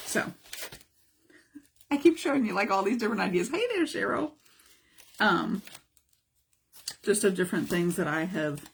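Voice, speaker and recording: A hoarse woman, a mixed media art teacher, chatting casually through a live craft demonstration, greeting viewers by name. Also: lowish voice, a little mellow. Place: home art studio.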